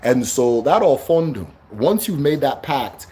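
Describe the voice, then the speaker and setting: Loud-voiced man lecturing on livestream. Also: medium pitch, big pitch swings, measured pace, breathy edge. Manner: loud, emphatic, keeps asking "right?". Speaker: livestream host teaching spirituality.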